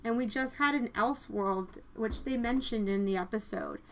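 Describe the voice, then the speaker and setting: A woman's voice: a little high, moderate pace, a little hiss or hum, low-quality recording. A woman hosting a podcast, chatting informally and jokily as she reviews television. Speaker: podcast host. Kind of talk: casual TV review discussion.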